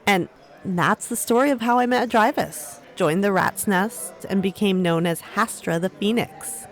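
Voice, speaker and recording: A woman's voice: mid-range voice, a little slow, faint music behind, lightly acted. A woman acting a brave, defiant young runaway turned gang member, spirited and determined, in a fantasy audio drama. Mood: storytelling.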